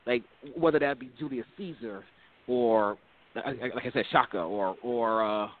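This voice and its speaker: A man at a middle pitch who talks haltingly, backing up and repeating words as he gathers his thoughts. His voice comes through thin and boxy, like a phone call. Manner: halting, many restarts and repeats. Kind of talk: casual group discussion. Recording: tinny phone-like line.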